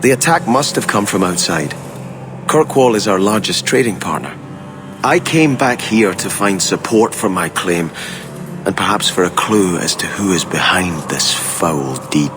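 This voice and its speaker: Game casting: devout, courteous prince in exile, formal and earnest, lively melodic delivery. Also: male voice, low-pitched, unhurried, music in the background. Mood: grave, measured. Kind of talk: video game dialogue.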